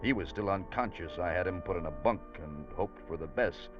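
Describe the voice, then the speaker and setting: A man's voice: low-pitched, a little fast, natural voice. An actor playing an earnest ship's officer, telling his story in dramatic 1940s radio drama style. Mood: reflective. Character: earnest ship's officer recounting a harrowing sea rescue. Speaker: first-person narrator. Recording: dull vintage radio recording with loud music.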